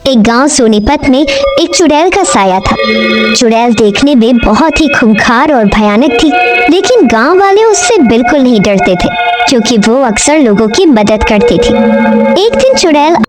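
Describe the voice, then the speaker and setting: A woman's voice: high voice, big pitch swings, moderate pace, over loud music. A woman narrating a cartoon folk tale in a scripted storytelling style.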